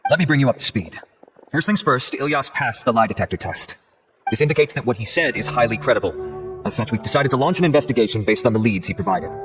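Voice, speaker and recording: A courteous, composed law officer, played by a man in a video game. He is formal and polite, talking quickly with lively pitch over clear music. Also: natural voice, low-quality recording. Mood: calm, official.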